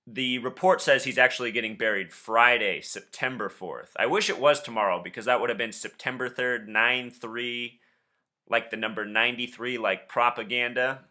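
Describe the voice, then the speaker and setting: Bright-voiced, insistent man. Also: mid-range voice. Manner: insistent, suspicious. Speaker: video host and caller. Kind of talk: conspiracy commentary and confrontational phone questioning.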